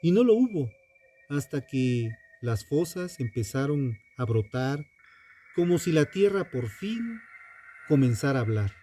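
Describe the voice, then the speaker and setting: A man narrating a scripted true crime story with dramatic, deliberate phrasing and pointed pauses. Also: medium pitch, sing-song, mellow. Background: music under the voice.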